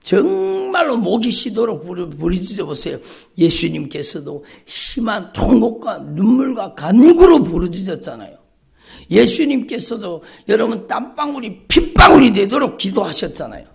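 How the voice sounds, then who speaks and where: A man's voice: sits high, big pitch swings, normal speed, creaky. A male pastor preaching an emphatic, repetitive sermon at a dawn church service, calling out often to the congregation. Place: church sanctuary.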